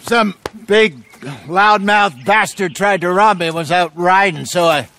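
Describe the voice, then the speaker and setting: Genial seasoned Western outlaw, American, high-pitched, folksy and easygoing. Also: male voice, measured pace, bright, forceful tone, slight background noise. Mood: casual, chatty. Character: genial, seasoned Old West outlaw hunter.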